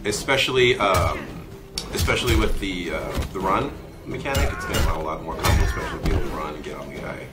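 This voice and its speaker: Man, deep voice, casual gameplay demo, halting technical explanations, loud music behind. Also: lively intonation. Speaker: game developer demonstrating character moves.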